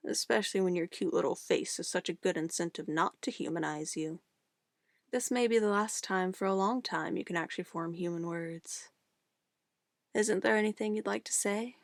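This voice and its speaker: A woman playing a sweetly menacing, possessive witch in an ASMR roleplay, cooing and coaxing condescendingly in a soft voice. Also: fairly low pitch, moderate pace, creaky, dry recording, studio quality. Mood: smug, calmly menacing. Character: possessive young-sounding witch, sweetly menacing, doting on her familiar.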